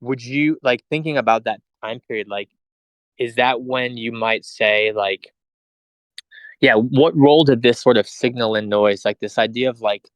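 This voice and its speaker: A man's voice: mid-range voice, moderate pace, no room sound, poor audio. A man over forty hosting an online interview, asking questions in hesitant, searching phrasing. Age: late 30s or older. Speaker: host. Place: online voice chat.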